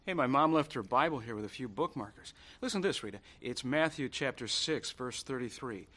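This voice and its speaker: Sincere young man, bright forward voice, earnest, hesitant, radio drama. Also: mid-range voice, expressive, a little fast. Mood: eager, earnest.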